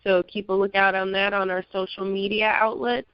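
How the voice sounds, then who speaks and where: A woman guest on a radio talk show, a magazine publisher, talking in a friendly, relaxed way. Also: medium pitch, normal speed, clear, resonant tone, no room sound. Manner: friendly, relaxed, light laughs. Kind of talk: casual interview chat. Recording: dull lo-fi sound, dry.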